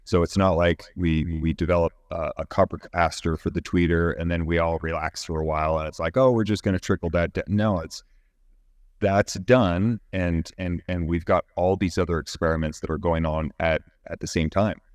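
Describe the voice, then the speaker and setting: A man with an extremely low voice speaks in a close, dry recording. He answers interview questions as an executive, explaining engineering in measured, technical language that often halts mid-phrase. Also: steady tone, no echo.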